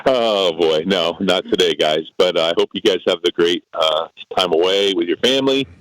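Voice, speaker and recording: A man's voice: low voice, moderate pace, a bit bright, no echo, poor audio. A man calling a radio show to wish the hosts happy holidays. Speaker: caller.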